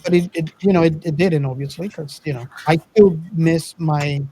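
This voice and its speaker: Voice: medium pitch, normal speed, mellow, breathy edge, rough recording. A middle-aged podcast co-host of unclear sex, chatting casually about films and giving opinions in a conversational way. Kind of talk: casual film discussion.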